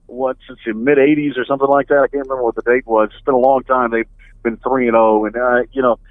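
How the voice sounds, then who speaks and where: A man's voice: medium pitch, little pitch movement, fast, dry recording. A middle-aged man, a radio play-by-play announcer, talking as a guest in a sports interview over the phone in a conversational, analytical way. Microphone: telephone. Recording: band-limited phone line.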